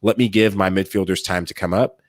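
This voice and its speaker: A man's voice: deep voice, fairly quick, no room sound, studio quality. A man co-hosting a soccer podcast, talking through tactics in long flowing runs and acting out what players and coaches might say. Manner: animated, talks in long flowing runs with acted-out quotes. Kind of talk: casual analytical chat.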